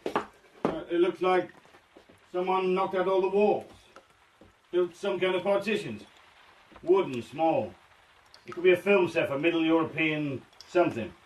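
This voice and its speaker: An actor playing a wry, insubordinate working-class spy in a radio drama, blunt and sardonic in manner. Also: male voice, high-pitched, a little slow, long pauses, poor audio. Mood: brisk, commanding. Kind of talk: radio drama acting with first-person narration. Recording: broadcast radio drama with faint noise.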